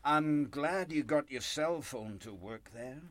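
An actor in a radio play playing a humble, deferential churchgoer with a quietly sly, knowing edge. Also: male voice, a little high, lively intonation, slow pace, no room sound. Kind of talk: radio drama acting.

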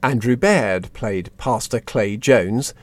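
A man's voice: medium pitch, slow, no echo. A man announcing the closing credits of a radio drama in a scripted style.

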